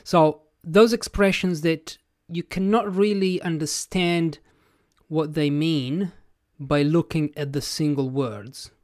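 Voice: medium pitch, a little mellow, no room sound, clean studio sound. An English teacher whose first language is Italian, speaking with an Italian accent in a plain-spoken, reflective podcast lesson, thinking aloud as they go.

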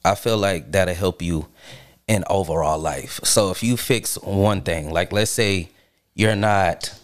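A man from Charlotte, born in New York, talking as a podcast guest in an earnest, deliberate way. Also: low voice. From Charlotte, North Carolina, born in New York. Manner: earnest, deliberate, checks in with "right?". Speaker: guest musician. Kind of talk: casual conversation.

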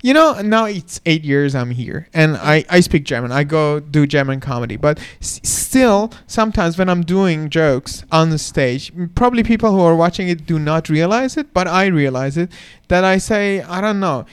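Male comedian co-hosting a chat, from Iran. High, smooth voice, self-deprecating storyteller. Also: lively intonation, normal speed, hardly any pauses. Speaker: comedian and podcast co-host. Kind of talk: casual chat with comic anecdotes. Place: comedy club.

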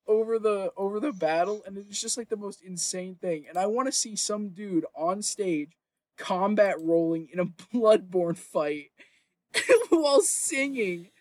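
Man, high-pitched voice, dry close recording, enthusiastic, informal podcast chat. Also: no room sound. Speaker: podcast co-host. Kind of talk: casual chat about games.

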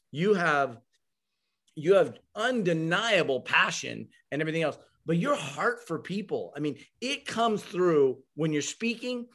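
A man hosting an interview, speaking in an admiring, affirming way to his guest. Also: on the high side. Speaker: interview host. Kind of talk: conversational interview.

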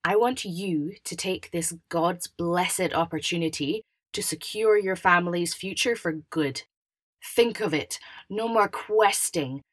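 A woman's voice: on the low side, unhurried, no room sound. A woman playing a pushy, self-assured brother in an audio drama, emphatic and cajoling. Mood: cajoling, insistent. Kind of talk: audio fiction character acting.

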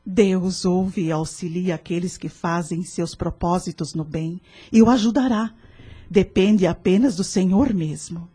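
An actress playing a serene, wise leader of a spiritist prayer group in a radio drama, speaking in a formal, didactic, consoling way. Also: female voice, lowish voice, measured pace, warm tone, husky.

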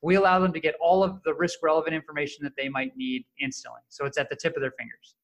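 A man in his thirties chats easily as a podcast guest. His voice sits a bit higher than most men's, and he tells his story in a relaxed, joking way. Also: quick pace. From Canada. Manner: friendly, jokey, relaxed storyteller. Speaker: podcast guest, startup co-founder. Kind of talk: casual interview answers.

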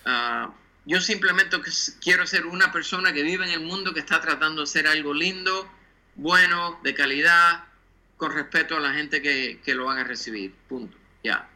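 A man's voice: on the high side, moderate pace, bright, forceful tone, poor audio. A man in his sixties from Cuba, a guest designer talking thoughtfully and dropping English words into his Spanish. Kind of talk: casual interview talk.

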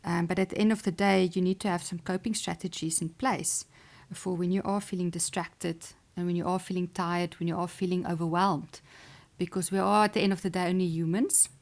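A woman's voice: on the low side, fairly even intonation, a little fast. A woman, an Afrikaans speaker, answering interview questions as a chess player and sports psychologist in an earnest, encouraging way. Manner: earnest, encouraging, with small restarts and soft laughs.

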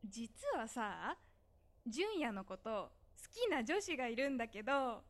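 A woman acting an exasperated, bossy and teasing high school girl in a Japanese voice drama, with an emphatic scolding manner. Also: sing-song, a little slow. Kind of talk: Japanese voice drama phone-call dialogue.

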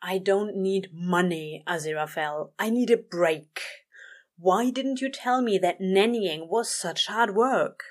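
A woman voicing a weary, dramatic male demon in an audio story, with drawn-out, exasperated grumbling. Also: medium pitch, close to an everyday voice. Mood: weary, pleading.

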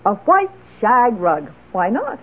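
An actress playing a wry, practical middle-aged widow in a 1970s radio drama, with decisive, deliberate phrasing. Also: female voice, fairly high pitch, wildly swooping pitch, breathy edge, full character voice. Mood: brisk, pleased. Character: gray-haired widowed wife, wry, practical and resolute. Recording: muffled, band-limited vintage radio broadcast.

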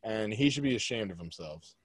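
A man's voice: fairly low pitch, lively intonation, fairly quick, breathy edge, no echo. A young man co-hosting a casual sports podcast, blunt and joking, pushing strong opinions. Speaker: podcast co-host.

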